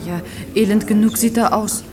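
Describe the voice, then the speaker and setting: A woman's voice: mid-range voice, fairly even intonation, moderate pace, crowd noise, natural voice. An actress playing a polite, compassionate young shopgirl in a post-war radio play, anxious and pitying. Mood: polite, hesitant.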